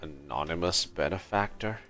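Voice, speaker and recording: A man giving casual, low-key live commentary as he streams a game, often muttering to himself. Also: moderate pace, bright, forceful tone. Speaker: game livestreamer. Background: game music.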